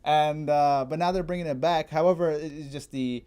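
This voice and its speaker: A man in a casual chat, explaining history as a guest in an informal, digressive way, as if thinking out loud. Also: a little high, steady tone, normal speed, smooth. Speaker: guest martial arts enthusiast explaining history.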